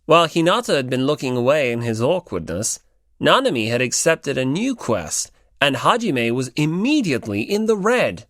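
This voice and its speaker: A man's voice: medium pitch, big pitch swings, normal speed, a bit bright, no echo, clean studio sound. A man reading scripted story narration as an audiobook narrator.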